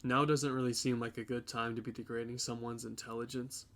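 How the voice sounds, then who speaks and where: A man acting in an audio drama as a guilty young boyfriend, halting and defensive as he pleads. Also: medium pitch, flat delivery, fairly bright tone, a little hiss or hum, close to an everyday voice.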